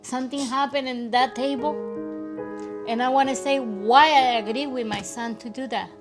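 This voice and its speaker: Worried mother, halting English. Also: sits high, fairly even intonation, music in the background. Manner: worried, pleading.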